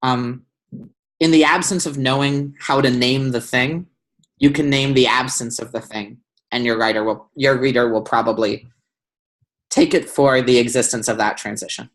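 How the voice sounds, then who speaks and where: A man's voice: mid-range voice, fairly even intonation, moderate pace, fairly bright tone, poor audio. Man tutoring an essay over video call. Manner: thoughtful, many ums and restarts. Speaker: writing tutor. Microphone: webcam on video call.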